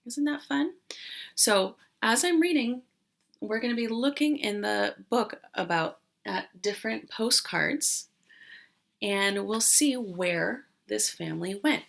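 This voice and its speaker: A woman's voice: on the high side, lively intonation, slow pace, creaky, a bit bright. A woman speaking as a kindergarten teacher in a read-aloud lesson, explaining things simply to young children and often asking them questions.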